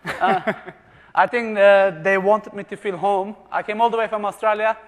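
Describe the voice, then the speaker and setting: A man with a very high voice presents to a live conference audience, cracking jokes and firing questions at the room. He grew up in Germany. His voice rings out in a large hall with a long, trailing reverb. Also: fairly even intonation, normal speed. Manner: jokey, asks the audience questions.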